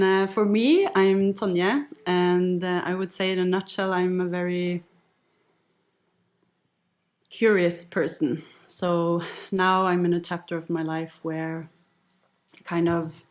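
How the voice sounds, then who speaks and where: A Norwegian-accented woman in her late thirties chats as a podcast guest, her voice sitting a touch low. She talks in an easygoing, reflective way, with the odd light laugh. Her first language is Norwegian. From west coast of Norway. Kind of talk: casual conversational storytelling.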